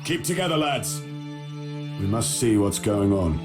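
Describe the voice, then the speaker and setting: An actor playing an honorable, passionate knight in a video game, earnest and forceful. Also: male voice, on the low side, very animated, unhurried, music in the background. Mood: grave, resolute. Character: righteous, passionate medieval knight, indignant and honorable. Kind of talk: dramatic video game cutscene acting.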